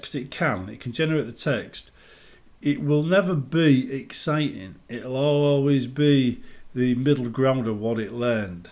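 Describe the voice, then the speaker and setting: A man with a mid-pitched voice talks through his thoughts in an unscripted gaming commentary. His delivery is casual and explanatory, with plain opinions worked out as he goes. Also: darkish tone. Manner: explanatory, opinionated, thinking aloud. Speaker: gaming video creator and modder.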